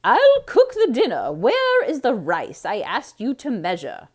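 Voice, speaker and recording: A woman's voice: huge pitch swings, unhurried, full character voice. A woman voicing a flustered, exasperated lady of the house in a theatrical children's storybook reading. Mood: demanding. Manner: shrill, aghast, exclaiming.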